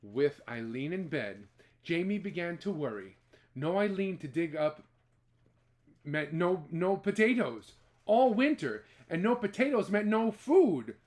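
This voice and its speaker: Animated man telling a children's story. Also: sits high, measured pace. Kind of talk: expressive children's storytelling, part read and part ad-libbed.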